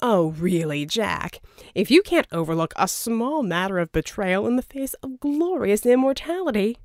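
A woman's voice: mid-range voice, very animated, moderate pace, darkish tone, dry recording, clean studio sound. Woman performing a flamboyant scheming male villain, wildly swooping. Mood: mocking. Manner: theatrical, wheedling, pompous. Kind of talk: audiobook character dialogue.

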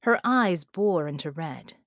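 A woman's voice: sits low, expressive, measured pace, a bit bright, dry recording, clean studio sound. A woman reading scripted fiction as an audiobook narrator.

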